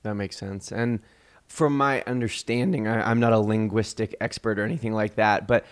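A man's voice: on the low side, fairly even intonation, moderate pace. A man hosting a podcast, chatting casually with a guest, friendly and loose with fillers and restarts. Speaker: podcast host. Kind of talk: casual interview chat.

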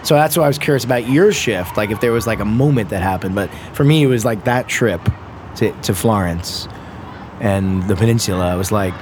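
A man in his thirties, a musician, telling stories in a rambling, animated way in casual conversation, laughing often. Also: lowish voice, brisk, slight background noise. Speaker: musician and podcast guest.

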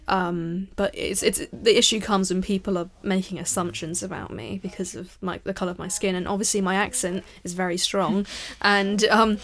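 A young woman in her late teens of half Thai, half British background, speaking earnestly in a casual discussion and pausing often on fillers. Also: mid-range voice, fairly even intonation, fairly bright tone. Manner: earnest, ranting, hesitant with fillers. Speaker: discussion participant. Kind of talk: casual conversation.

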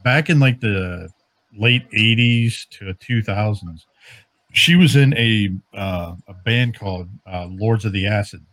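A man's voice: on the low side, slow pace, a bit bright, dry recording. A man co-hosting a podcast, chatting casually and rambling through trivia in an informal, jokey way. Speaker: podcast co-host.

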